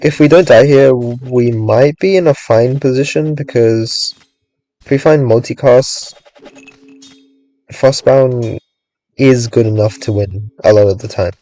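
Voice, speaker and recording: A young man giving casual, rambling commentary on his own gameplay, thinking aloud with lots of "like"s and a voice gone a little raspy from hours of talking. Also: medium pitch, a little slow, dark tone, poor audio. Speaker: gaming streamer.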